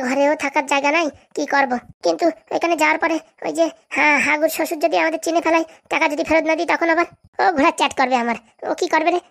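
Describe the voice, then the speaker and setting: Resentful female schemer for cartoon comedy, played by a woman: brazen, grumbling, high-pitched, extremely fast. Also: creaky. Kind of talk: comic cartoon dialogue.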